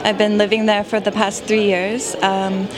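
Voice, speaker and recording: A woman fashion designer answering interview questions thoughtfully, pausing often on fillers, at a trade fair. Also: mid-range voice, little pitch movement. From Canada. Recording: crowd chatter behind.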